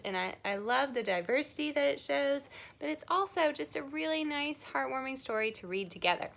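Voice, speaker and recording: A woman with a high, bright voice presents a storytime for young children. Her pitch swings widely as she encourages her little listeners and puts questions to them with exaggerated, animated delivery. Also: creaky. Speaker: children's library storytime host.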